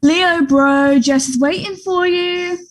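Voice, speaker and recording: A woman's voice: extremely high pitch, slow pace. A woman reading the part of a brash, mocking young male bully in a play table read. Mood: shocked.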